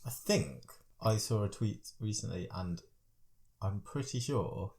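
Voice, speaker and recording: A man's voice: on the low side, animated, slow, darkish tone. A man who co-hosts a podcast and gives short, dry replies in casual chat. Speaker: podcast co-host. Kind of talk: casual chat about films.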